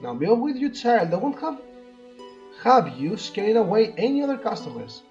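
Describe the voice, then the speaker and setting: A curt, dismissive female shop owner from a visual novel acted out by a man. The pitch swings up and down as she scolds. The audio is a bit dull, with faint music behind. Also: sing-song, moderate pace, a little mellow.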